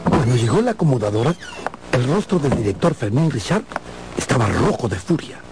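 A man narrating a gothic mystery as a radio drama, in a measured, suspenseful storytelling manner. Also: medium pitch, sing-song, natural voice. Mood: dramatic. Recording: radio drama narration under loud music.

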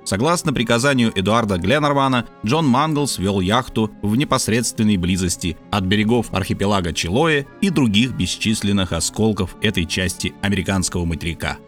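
A man narrating an adventure audiobook in a measured, steady reading style. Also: lowish voice, faint music behind. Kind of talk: scripted narration.

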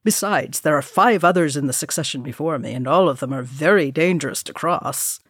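A woman's voice: deep voice, fairly quick, husky, no room sound, clean studio sound. A woman voicing a young male dwarf warrior in a storybook reading, hearty, bragging and teasing. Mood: boastful, joking.

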